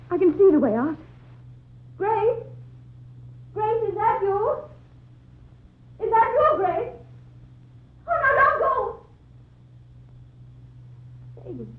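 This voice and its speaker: A woman's voice: very high voice, heavily acted. An actress playing an anxious woman in an old-time radio drama, speaking in a theatrical, exclamatory way. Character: anxious woman recounting a ghostly rescue. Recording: muffled tinny old radio recording, faint noise.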